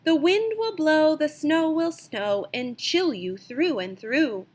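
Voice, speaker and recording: A woman's voice: high-pitched, lively intonation, slow, voice pushed up. A woman voicing a small phoebe bird from a storybook, calling out an urgent, singsong warning. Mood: urgent, coaxing.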